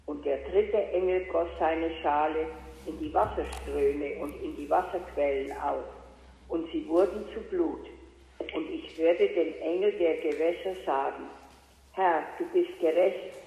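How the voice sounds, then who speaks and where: A woman reads scripture aloud at a very slow, measured pace. Her delivery is solemn recitation. The sound is strongly echoey, with a long reverberant tail, as on a remote feed into a room. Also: low voice, muffled recording. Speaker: Bible study participant reading aloud.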